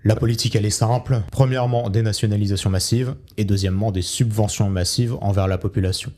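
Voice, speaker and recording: Podcast host, young man, rapid loose explainer, lowish mellow voice. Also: steady tone. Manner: explains loosely, fillers like euh and du coup.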